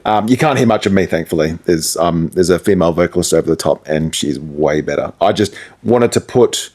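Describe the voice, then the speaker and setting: A man's voice: low voice, brisk, a little mellow. A man chatting casually as a guest about his creative work, in a colloquial, self-deprecating way. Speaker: musician, DJ and radio presenter guest. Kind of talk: casual chat about making music.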